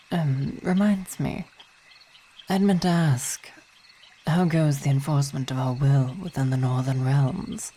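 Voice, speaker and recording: A woman playing a weary vampire wife and coven commander, speaking in intimate ASMR roleplay with measured, hesitant, formal phrasing, tender yet imperious. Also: deep voice, slight background noise. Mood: businesslike, pleased. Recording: steady night insect ambience behind voice.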